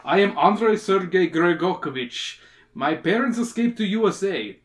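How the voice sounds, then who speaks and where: A man voicing a helpless young boy with a Russian accent, pleading in halting broken English. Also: sits high, animated.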